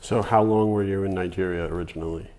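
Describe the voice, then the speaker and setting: A man interviewing a guest for an oral history project, asking gentle, hesitant questions. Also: deep voice, fairly even intonation, fast. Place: community center room.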